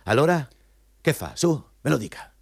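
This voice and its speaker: Radio play: ruthless male corporate interviewer, patronizing and hectoring, voice on the high side, very dry sound. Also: very animated, moderate pace, creaky, a little mellow, dry recording, exaggerated voice. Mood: pressing, patronizing. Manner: hectoring, condescending, insistent. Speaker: actor in a radio drama. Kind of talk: Italian radio play acting, dialogue.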